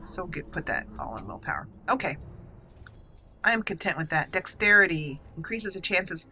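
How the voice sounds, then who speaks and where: Woman, slightly low voice, let's play host, casual gameplay commentary, musing aloud. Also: very animated, normal speed, sharp tone, slight background noise. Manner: musing, talks through choices to herself.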